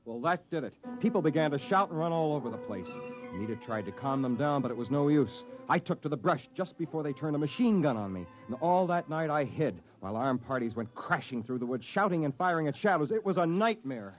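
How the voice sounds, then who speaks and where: A man's voice: fairly high pitch, lively intonation, fairly quick, lightly acted. An actor playing an earnest, exasperated Earthman hero, narrating and acting dramatically in 1950s radio drama style. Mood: tense narration. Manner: earnest, dramatic, by turns wry and indignant. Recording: dull 1950s radio recording with music.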